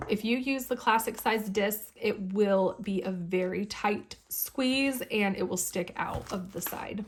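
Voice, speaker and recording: A woman with a slightly hoarse voice chatting enthusiastically as she shows her planner on camera, laughing now and then. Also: fairly high pitch. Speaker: planner YouTuber. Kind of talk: casual planner flip-through.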